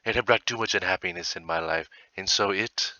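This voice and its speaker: A man reading a horror story as a tormented murderer's confession, in a theatrical, heavily emphatic manner with dramatic pauses. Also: low voice, pushed and bright, dry recording, lo-fi sound. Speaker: storybook reader. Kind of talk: dramatic first-person narration of a horror story.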